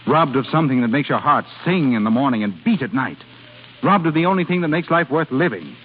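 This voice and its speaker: An actor playing an ardent, possessive suitor in a 1930s radio drama, speaking in an impassioned, insistent, theatrical way. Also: male voice, medium pitch, animated, a little fast, lightly acted. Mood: urgent, impassioned. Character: ardent, possessive male suitor pleading his love. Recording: tinny crackly 1930s radio broadcast, music behind.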